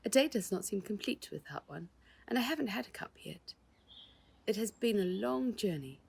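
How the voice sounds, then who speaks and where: A woman's voice: medium pitch, lively intonation, moderate pace, gravelly, a little mellow, husky, pitched above the performer's own voice, heavily acted. A woman voicing an elegant, gracious lady visitor in a storybook, chatty, genteel and confiding. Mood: warm, chatty.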